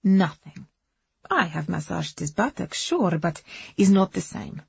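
A woman voicing a passionate immigrant wife, dramatic and breathy, in an audiobook story. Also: on the low side, expressive, measured pace, dry recording, dull audio, exaggerated voice. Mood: rapturous. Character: passionate Eastern European immigrant wife confessing her love affair.

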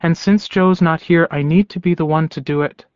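Man voicing a serene motherly werewolf woman, higher-pitched. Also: smooth, no echo, highs cut off, natural voice. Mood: calm candour. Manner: calm, knowing, deliberate phrasing. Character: serene, perceptive motherly female werewolf, gently probing.

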